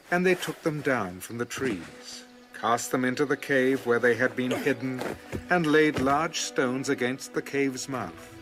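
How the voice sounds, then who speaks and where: A man narrating scripture in a dramatic, scripted audiobook style. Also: mid-range voice. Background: music.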